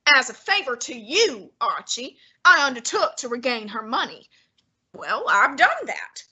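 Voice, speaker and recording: Girl doing a snooty bossy detective guy, high and bright, clipped and dismissive. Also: big pitch swings, a little slow, slightly rough, muffled recording, heavily acted. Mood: scornful. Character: pompous, imperious middle-aged male detective. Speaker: high school drama student. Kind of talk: amateur radio play acting.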